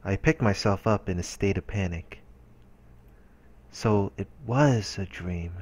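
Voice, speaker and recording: A man's voice: low voice, takes it slowly, darkish tone. A man reading visual novel narration aloud as a let's player, in an earnest, dramatic storytelling way.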